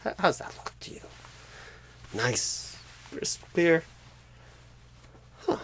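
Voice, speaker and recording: A clueless, flustered male job recruiter for an ASMR comedy roleplay. His voice is husky and gravelly, leaping up and down in pitch. He talks slowly, stammering and breaking phrases in odd places. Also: sing-song, slight background noise, exaggerated voice. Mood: flustered, eager. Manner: halting, stammering, odd pauses and sudden swoops.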